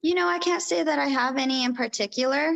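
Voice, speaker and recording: A firm, outspoken woman speaks as a guest in an interview. Her voice sits a touch higher than most. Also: quick pace, vocal fry, a bit bright. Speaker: boxer guest.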